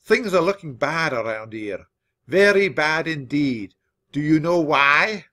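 A tough old miserly grandfather mouse, acted out by a man for a children's audiobook. He hectors and shouts in a lively, swooping, raised pitch, stretching key words for emphasis. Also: measured pace. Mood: bossy, outraged.

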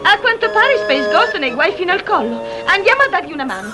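An actress voicing an eager, quick-witted young woman sidekick in a dubbed cartoon. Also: very high-pitched. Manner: bright, lively, eager. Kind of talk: 1960s cartoon dubbing. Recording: dubbed cartoon soundtrack with loud music.

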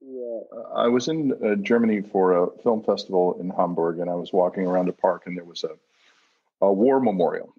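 Male panelist, American, low husky voice, hesitant and deliberate, slightly dull audio. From United States. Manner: hesitant, deliberate word choice. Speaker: panel guest. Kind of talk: reflective film Q&A talk.

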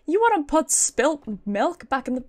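A woman's voice: high voice, sing-song, moderate pace. A British woman giving casual, playful, sweary live commentary as she streams a video game. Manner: playful, sweary, chatting to viewers.

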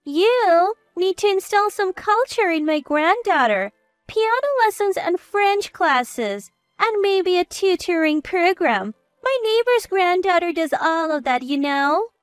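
A woman's voice: very high-pitched, expressive, unhurried, no echo, soft background music, pitched above the performer's own voice. A woman voicing a critical, meddling, old-fashioned grandmother in a scolding, judgmental way within a narrated story. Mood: pushy.

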